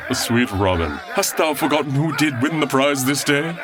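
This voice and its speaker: An actor playing a big, good-humoured, boastful outlaw sidekick in a comedic audio drama, blustering in archaic English. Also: male voice, medium pitch, lively intonation, normal speed, lightly acted. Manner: blustering, theatrical archaic speech.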